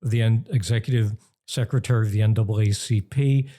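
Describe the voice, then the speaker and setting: Very dark-voiced, deliberate older American man. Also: on the low side, steady tone. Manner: deliberate, emphatic, pausing mid-phrase. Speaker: interview guest, journalism professor and author. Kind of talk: explanatory interview talk.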